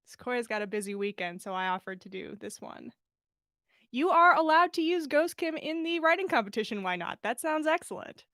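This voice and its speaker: High-voiced casual woman host. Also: lively intonation, brisk, fairly bright tone, no echo, studio quality. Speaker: podcast host and story reader. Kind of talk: casual livestream chat and fan fiction read-aloud.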